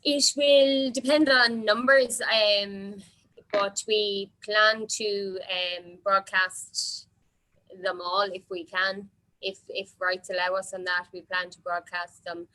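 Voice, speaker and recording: A woman's voice: mid-range voice, flat delivery, slow, bright, forceful tone, low-quality recording. An Irish woman organiser answering questions informally, explaining things in a hesitant way.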